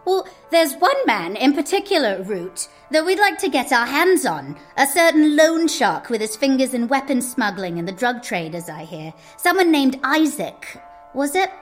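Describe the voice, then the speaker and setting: A woman's voice: high voice, big pitch swings, normal speed, faint music behind. An actress playing an imperious, sly female ruler with a haughty, brusque, teasing manner. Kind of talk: scripted audio drama acting.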